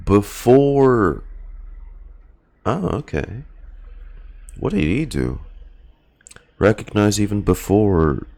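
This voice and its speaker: A man doing casual reaction commentary as a streamer, muttering to himself and haltingly reading bits of game dialogue aloud. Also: fairly low pitch, very animated, darkish tone. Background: faint game music.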